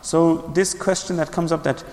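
A man's voice: a little high, fairly even intonation, moderate pace, darkish tone, big-room sound. A man giving a religious lecture, explaining step by step, asking and answering his own questions and repeating key phrases. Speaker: Islamic teacher.

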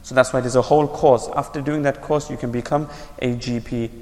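A man's voice: medium pitch, fairly even intonation, brisk, mellow, in a large hall. A man giving a religious lecture, explaining step by step, asking and answering his own questions and repeating key phrases. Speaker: Islamic teacher.

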